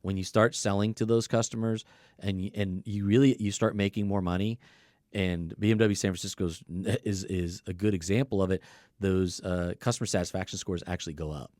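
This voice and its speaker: A man with a fairly low voice, talking casually on a podcast. He explains his points conversationally, like someone sharing work anecdotes. The recording is dry, with very little room sound. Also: steady tone, a little fast, darkish tone, no room sound. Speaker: car dealership service coach.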